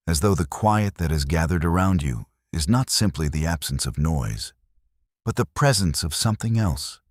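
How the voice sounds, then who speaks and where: A man's voice: a bass voice, dry recording, studio quality. A man narrating a scripted sleep meditation in a contemplative, soothing manner.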